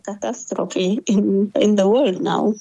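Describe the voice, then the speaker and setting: A woman's voice: mid-range voice, fairly even intonation, slow, creaky, dark tone, slightly rough, dull audio. A woman, an Arabic-speaking writer, answering in English in a hesitant way with fillers. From Sudan. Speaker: exiled writer, guest.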